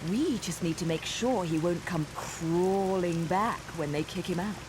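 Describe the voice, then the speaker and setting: A woman's voice: on the low side, unhurried, noisy background, lightly acted. A woman playing a cool, haughty, teasing witch with a British accent in a video game cutscene. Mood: disdainful, mocking. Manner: poised, drily mocking. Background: loud music.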